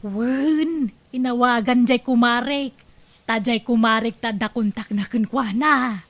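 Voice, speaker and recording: A woman plays a chatty Ilocano lady friend in a radio-style comedy drama. Her voice is high, and she is obliging and eager to help. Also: measured pace. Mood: chatty, helpful.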